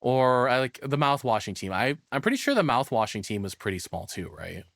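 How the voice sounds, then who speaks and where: A man's voice: medium pitch, big pitch swings, fairly quick, gravelly, a bit bright, dry recording, clean studio sound. A man giving casual, enthusiastic, rambling live reaction commentary as a streamer.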